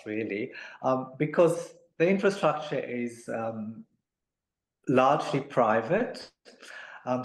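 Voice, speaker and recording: A man from Africa, answering interview questions in a measured, polite way with many pauses and ums. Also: mid-range voice. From South Africa. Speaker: guest, amateur tennis player. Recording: echoey room.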